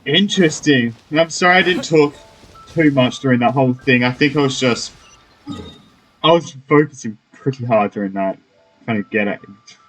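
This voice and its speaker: A man with a mid-pitched voice commentates casually over a game. He rambles informally, as a let's play host. Faint music plays in the background. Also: normal speed, fairly bright tone, poor audio.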